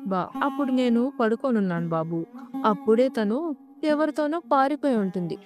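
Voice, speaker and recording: A woman's voice: a little high, expressive, brisk, a little mellow, lightly acted. A woman voicing a cruel older mother-in-law in a cartoon moral story, harsh and commanding, with music behind her. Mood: sly deceit.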